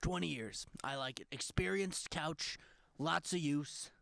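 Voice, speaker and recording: A man's voice: a little high, measured pace, gravelly, fairly bright tone, no echo. A man co-hosting a comedy podcast, playfully riffing along with improvised jokes. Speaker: podcast co-host.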